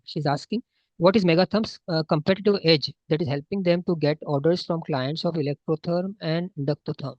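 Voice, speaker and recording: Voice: medium pitch, fairly quick, a little mellow, dry recording. A moderator politely and formally reads out investor questions on a call. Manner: polite, deferential. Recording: dull, lo-fi call audio.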